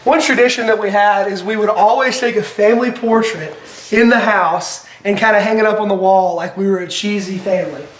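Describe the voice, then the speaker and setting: A man's voice: sits high, fairly even intonation, a little fast, slight background noise, low-quality recording. A man, giving a house tour in a casual video submission. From Alabama. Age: 20s. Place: outdoors and in a house.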